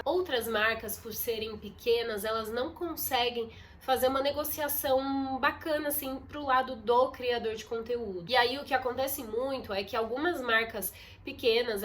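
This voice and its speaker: A woman's voice: on the high side, fairly bright tone, a little hiss or hum. A young woman talking to her phone camera in a casual, confiding vlog, her voice muffled and nasal from a stuffy nose. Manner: chatty, confiding, addresses viewers as "gente". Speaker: content creator. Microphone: phone on a ring light.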